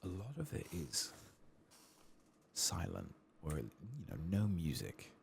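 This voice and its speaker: A soft-spoken man doing an ASMR game playthrough, hushed and sometimes whispering, musing in a reflective, hesitant way as he plays, close to the microphone. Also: sits low, huge pitch swings, slow, vocal fry, slight background noise. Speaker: ASMR gaming video host.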